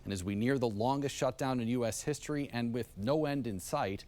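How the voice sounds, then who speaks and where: A man's voice: mid-range voice, fairly quick, darkish tone. Polished American male news anchor. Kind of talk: TV news presenting.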